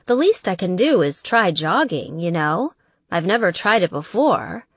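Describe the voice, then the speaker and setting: A woman's voice: on the low side, very animated, measured pace, breathy edge, no room sound, muffled recording, exaggerated voice. An eager, good-natured young girlfriend, acted by a woman narrator in an audiobook. She is chatty and earnest, making fun of herself, in a very dry, close sound. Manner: earnest, chatty, self-deprecating. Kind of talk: audiobook character dialogue.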